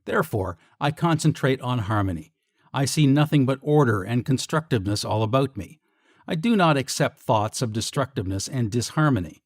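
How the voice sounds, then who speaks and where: A man narrating scripted guided meditation affirmations in a measured, declarative way. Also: medium pitch, animated, dry recording, clean studio sound.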